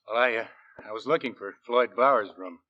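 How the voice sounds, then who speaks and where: A man's voice: mid-range voice, a little fast, close to an everyday voice. An American man playing a shifty army sergeant in a 1940s radio drama, speaking in slangy, hesitant, evasive phrases. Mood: nervous, evasive. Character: shifty, nervous army sergeant dodging questions. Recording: dull, dry 1940s radio recording.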